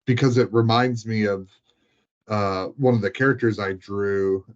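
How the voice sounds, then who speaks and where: A man's voice: on the low side, steady tone, measured pace, highs cut off. A man chatting casually as a guest, in an informal, loose conversational way. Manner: informal, frequent filler 'like', giggly. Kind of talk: casual chat about tabletop gaming.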